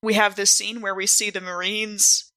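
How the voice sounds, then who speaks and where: A woman chats casually as a player on a gaming podcast. Her voice is bright and mid-pitched, giggly, with plenty of "like" in it. The recording is dry and close. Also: flat delivery, normal speed, no echo, low-quality recording. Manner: giggly, peppers talk with "like". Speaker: player on an actual-play podcast. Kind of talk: casual chat.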